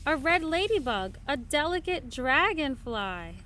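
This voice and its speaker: Woman, high voice, patient sing-song read-aloud for a young child, unhurried, faint background noise. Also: very animated, pushed and bright. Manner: sing-song, patient, pauses to count and point. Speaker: parent reading aloud to a child. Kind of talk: picture book read-aloud to a small child.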